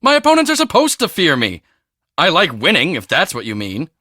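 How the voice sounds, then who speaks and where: Cocky, high-pitched teen football jock. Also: male voice, wildly swooping pitch, a little fast, sharp tone, dry recording, clean studio sound, exaggerated voice. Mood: cocky, boastful. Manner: swaggering, self-important, emphatic. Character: cocky, boastful teenage high school football star. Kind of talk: video game character dialogue.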